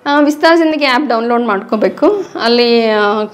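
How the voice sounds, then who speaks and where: A woman's voice: on the high side, moderate pace. A woman with a North Karnataka Kannada accent, explaining prenatal care patiently as an interview guest. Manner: patient, teacherly, mixing in English words.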